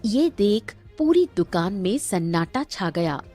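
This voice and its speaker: A woman narrating a scripted cartoon story in a dramatic, measured way. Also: mid-range voice, very animated, mellow, soft background music.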